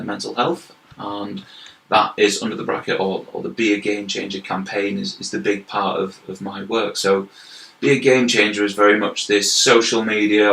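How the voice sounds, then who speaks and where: Brief: young Yorkshire man, interview guest, earnest and explanatory, gravelly tone, roomy echo. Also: fairly low pitch, fairly bright tone. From York, England. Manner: earnest, explanatory, repeats words as he goes. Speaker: guest, health and wellbeing manager. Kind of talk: casual interview chat. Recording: roomy echoey recording.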